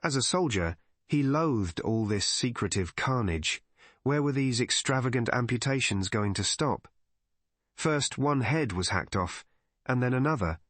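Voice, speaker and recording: A man reading literary mystery narration in a measured, deliberate way. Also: mid-range voice, expressive, fairly bright tone, no echo. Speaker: audiobook narrator.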